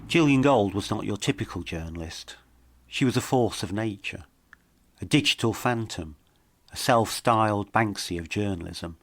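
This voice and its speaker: Low-voiced man narrating a mystery. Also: no echo. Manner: measured, dramatic storytelling. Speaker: documentary podcast narrator.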